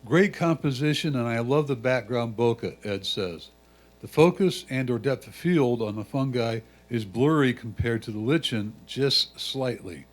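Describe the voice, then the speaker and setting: A man reading written critique aloud in a measured, deliberate way. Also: medium pitch, lively intonation. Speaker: reader of judges' comments.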